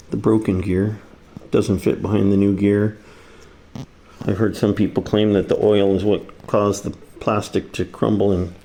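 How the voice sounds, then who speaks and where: Gravelly, slow-talking man, casual repair demo. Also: lowish voice, darkish tone, slight background noise. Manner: folksy, informal, talks through each step. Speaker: repair video presenter. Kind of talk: casual hands-on repair demonstration. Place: kitchen, indoors.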